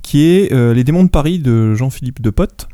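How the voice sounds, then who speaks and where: A man's voice: mid-range voice, animated, moderate pace, mellow, no echo. A man with a cold and a stuffy nose, presenting a book in a rambling, hesitant way. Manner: rambling, many fillers and restarts. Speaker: book columnist. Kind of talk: casual book review.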